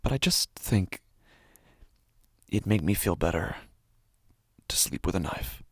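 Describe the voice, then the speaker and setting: An actor playing an anxious, obsessive man narrating his sleepless nightmares in a halting horror audio monologue. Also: low voice, lively intonation, slightly rough, dry recording, clean studio sound, exaggerated voice. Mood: obsessive unease. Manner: halting, hushed, often breaking into whispers. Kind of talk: first-person horror audio fiction monologue.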